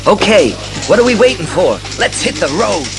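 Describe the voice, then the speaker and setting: A man's voice: high-pitched, big pitch swings, moderate pace, music in the background. A man playing an eager young male crime-fighting sidekick in a superhero audio drama.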